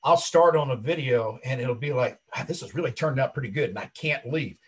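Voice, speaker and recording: A man in his late fifties with a husky voice, chatting casually and talkatively as an interview guest, self-assured and full of anecdotes. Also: medium pitch, fairly quick. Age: late 50s. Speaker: interview guest, wrestling commentator and video creator.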